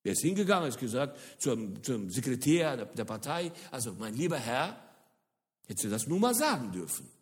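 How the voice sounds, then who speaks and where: A middle-aged man from the former Soviet Union delivers a lively lecture-style sermon with a very lively voice that leaps between high and low. He repeats words for emphasis and pauses with frequent hesitations. His voice rings out in a large hall with a long reverb tail. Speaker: speaker on church mission.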